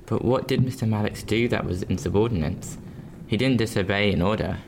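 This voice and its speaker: A man acts as a young sailor lad in a radio play, earnest and worried. The voice is very low, and the delivery is deferential and hesitant. Clear noise runs behind it. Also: fairly quick, darkish tone. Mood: anxious, questioning. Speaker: actor. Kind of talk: radio drama acting.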